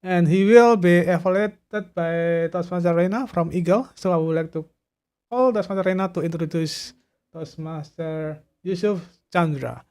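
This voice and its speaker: Man, high clear voice, upbeat ceremonious meeting chair, video call. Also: normal speed, a little mellow. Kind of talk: formal meeting hosting.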